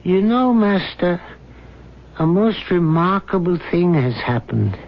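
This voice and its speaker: Tipsy sly old-radio servant, wheedling fool, voice a bit high, dull muffled sound. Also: male voice, sing-song, slow pace, heavily acted. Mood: sly, teasing. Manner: wheedling, rambling, wry. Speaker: actor in a radio drama. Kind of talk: 1970s radio drama comic acting. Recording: muffled 1970s radio recording.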